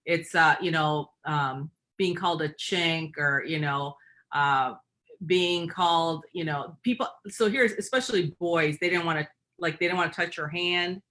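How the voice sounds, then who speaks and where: A woman's voice: low voice, a little slow, a bit bright. A middle-aged woman with a Michigan accent, a guest telling personal stories in reflective talk with frequent fillers. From Michigan, USA. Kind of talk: personal storytelling in interview.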